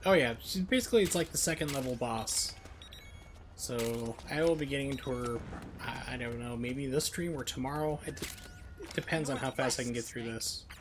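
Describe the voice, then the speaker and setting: A man's voice: medium pitch, normal speed, background noise. A man streaming a video game with casual, chatty live commentary, explaining mechanics in asides.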